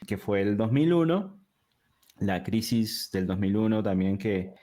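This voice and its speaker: A fairly low-voiced man in his thirties, born in Peru, speaking with a River Plate Spanish accent. He talks casually and at length as an interview guest, often restarting and filling pauses as he goes. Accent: Rioplatense Spanish. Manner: relaxed and talkative, with frequent fillers and restarts. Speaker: guest, digital product designer.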